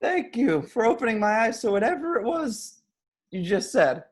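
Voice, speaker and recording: A man's voice: very high voice, very animated, moderate pace, exaggerated voice. A man playing a stoned, grumpy, sarcastic young slacker in an amateur table read. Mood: sardonic, stoned.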